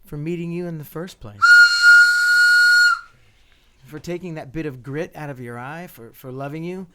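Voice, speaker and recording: A man acts out a tender doctor in love in a radio romance. He speaks haltingly and earnestly, like someone struggling through a goodbye. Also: on the high side, very noisy, close to an everyday voice. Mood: pleading. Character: tender, lovelorn married doctor saying farewell. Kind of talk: radio play acting.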